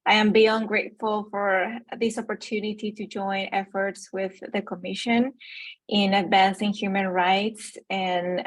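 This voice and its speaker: Polite young woman, medium-pitched voice. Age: young adult. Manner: polite, formal. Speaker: research intern and PhD candidate. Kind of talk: formal self-introduction at a public meeting.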